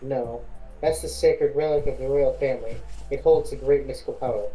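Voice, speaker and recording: An amateur acting out a princess burdened by a kingdom at war. The voice is dark and relaxed at a middling pitch, earnest but halting. Music plays behind it. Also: close to an everyday voice, poor audio. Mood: hesitant. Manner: hesitant, stumbling over lines.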